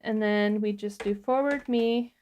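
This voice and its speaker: A woman's voice: mid-range voice, fairly even intonation, a little slow, a little mellow, a little hiss or hum. Woman casually walking through a tutorial. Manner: informal step-by-step explaining. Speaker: livestream co-host demonstrating a tutorial.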